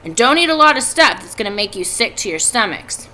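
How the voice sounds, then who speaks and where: A woman's voice: on the high side, very animated, fairly quick, pushed and bright, poor audio. Woman reading as a practical, firm farm father. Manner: firm, instructing.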